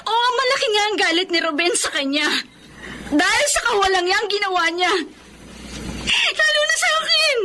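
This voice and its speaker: An actress playing a resentful, hot-tempered young daughter in an emotional radio drama. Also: female voice, very high-pitched, animated, moderate pace. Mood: furious. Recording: clear background noise.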